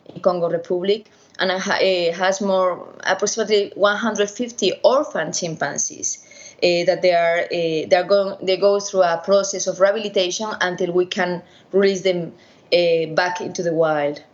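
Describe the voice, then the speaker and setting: A middle-aged woman with a Spanish accent, a veterinarian answering interview questions haltingly over an internet call. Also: on the low side, steady tone, dull audio. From Spain. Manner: halting, many restarts and fillers. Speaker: veterinarian and primatologist guest. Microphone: video call.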